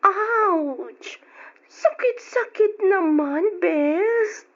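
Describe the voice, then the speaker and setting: A woman's voice: extremely high pitch, animated, drawn-out words, fairly bright tone, exaggerated voice. A woman playing a frank, teasing young best friend in an old radio drama, speaking with an Ilocano accent. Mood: sympathetic. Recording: dull old radio recording.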